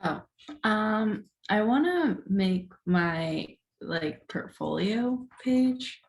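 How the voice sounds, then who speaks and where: A woman's voice: mid-range voice, expressive, drawn-out words, gravelly. A woman student speaking casually and tentatively in class, leaning often on "like" as she asks questions. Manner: tentative, frequent "like" as filler.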